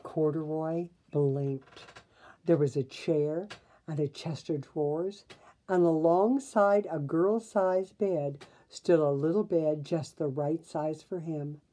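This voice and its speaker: A woman in her sixties reading a children's storybook aloud in an expressive, deliberate way. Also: deep voice. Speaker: storybook reader. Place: small bedroom at home.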